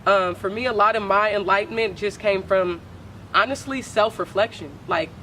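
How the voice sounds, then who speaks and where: A young woman talks in a casual interview, candid and loose with slang and profanity. Her voice is deep for a woman but carries a bright, tense edge. She speaks as a poet guest. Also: moderate pace, a little hiss or hum. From Oakland, California.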